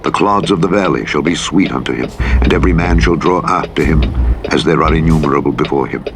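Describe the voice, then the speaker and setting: A man giving a solemn, deliberate scripture reading in short phrases, as an audiobook narrator. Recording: played over loud truck engine rumble. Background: loud vehicle noise from a truck driving game.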